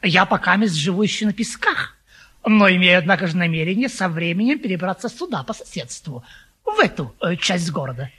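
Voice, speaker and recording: A timid, over-polite suitor in a classic stage comedy. His husky voice hesitates through fussy, deferential phrases. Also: high voice, sing-song, fairly quick, pushed and bright, full character voice. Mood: obsequious, hesitant. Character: timid, obsequious male suitor.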